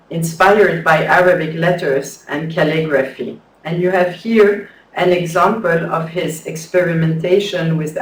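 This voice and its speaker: Careful academic man reading a paper at a slow pace, faint room noise behind. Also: a little high. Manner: measured, careful, with some hesitant restarts. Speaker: conference speaker. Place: lecture hall. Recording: echoey hall, distant mic.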